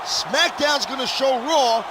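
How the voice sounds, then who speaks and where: A man's voice: very high voice, takes it slowly. A man playing a cocky, partisan wrestling color commentator in a video game. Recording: crowd and clear noise behind.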